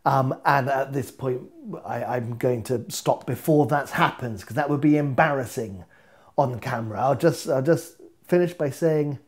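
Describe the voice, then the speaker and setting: A man's voice: medium pitch, normal speed. A middle-aged man, a poet performing to camera in a playful, theatrical way. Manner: playful, theatrical, rhythmic repetition. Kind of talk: performance poetry reading to camera. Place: plain indoor studio.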